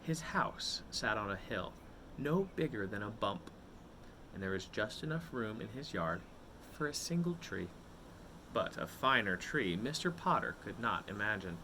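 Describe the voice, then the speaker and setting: A man's voice: on the low side, sing-song, measured pace, background noise. A man hosting a read-aloud for children, reading a picture book expressively and addressing his young listeners directly.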